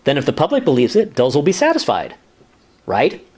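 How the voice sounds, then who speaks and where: A man's voice: on the high side, wildly swooping pitch, brisk, darkish tone, full character voice. A man playing an earnest, defensive commission staff lawyer in a sitcom-style radio play, insistent and matter-of-fact.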